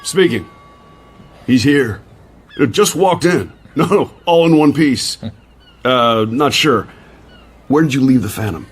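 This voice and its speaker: A genial agent talks in a medium pitch. He comes across as a loyal, easily flustered lawman in a radio thriller. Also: lively intonation, slow, pauses often, breathy edge. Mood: relieved, businesslike. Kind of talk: radio drama acting.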